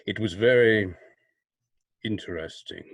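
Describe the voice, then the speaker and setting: A man playing a sly, deep-toned radio avenger. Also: no echo, highs cut off. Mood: sly, mocking. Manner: slow, deliberate, mocking, formal. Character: the Shadow, sly menacing invisible male crime fighter. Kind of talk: old-time radio drama acting.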